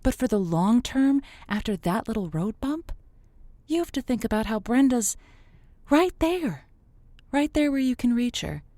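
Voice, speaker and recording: A woman's voice: medium pitch, dark tone, dry recording. Audio drama lead: an actress as a female call-in show host, theatrical and encouraging, stumbling pep talks, very dry recording. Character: Josie, gushing adult female late-night radio advice host. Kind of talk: semi-improvised audio drama, radio advice show.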